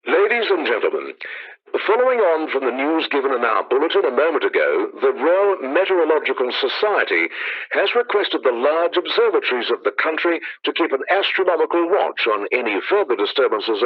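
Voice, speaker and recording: Man acting a formal, swooping old-time radio announcer. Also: a little high. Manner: formal, measured announcer delivery. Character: formal 1930s male BBC radio announcer. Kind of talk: scripted radio news bulletin acting. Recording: dull lo-fi radio sound.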